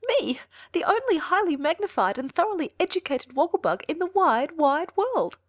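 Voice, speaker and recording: A man voicing a pompous, fussy male insect scholar, high and fretful, in an audiobook reading. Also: sing-song, moderate pace. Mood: distressed.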